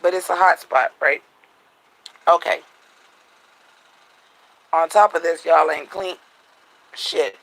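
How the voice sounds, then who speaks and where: A man vlogging at home at night, venting bluntly and profanely in a casual monologue, his voice a little raspy. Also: fairly high pitch, fairly even intonation, slow, long pauses, fairly bright tone, low-quality recording.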